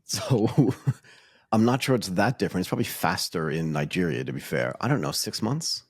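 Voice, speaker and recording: Husky low-voiced man asking direct questions. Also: big pitch swings, normal speed, darkish tone, no room sound, studio quality. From lives in Thailand. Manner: direct, informal questions with laughs and short backchannels. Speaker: podcast host. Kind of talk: casual interview questioning.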